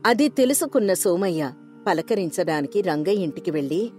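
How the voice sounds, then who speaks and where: A woman's voice: on the low side, animated, normal speed, darkish tone, soft background music. A woman narrator reading a scripted moral story.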